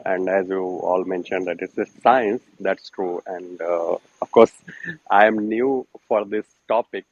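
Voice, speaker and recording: A man's voice: deep voice, breathy edge, muffled recording. A man joining an online discussion, talking hesitantly with many fillers. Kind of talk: casual group chat.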